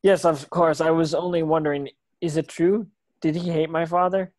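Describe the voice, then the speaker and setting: A man's voice: a little high, level tone, normal speed, darkish tone, no echo, close to an everyday voice. Male actor as schoolboy wizard, earnest, curious, questioning, dry close sound, fantasy table read.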